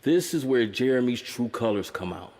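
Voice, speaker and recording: A man's voice: medium pitch, animated, normal speed, a little mellow, long reverb tail. A man narrating a film breakdown video essay in a wry, conversational way with blunt, profane asides.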